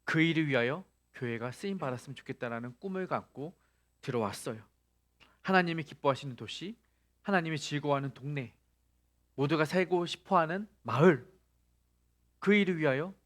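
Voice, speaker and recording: A man's voice: fairly high pitch, fairly quick, long pauses. A male pastor preaching a sermon, asking the congregation rhetorical questions and rising to emphatic peaks.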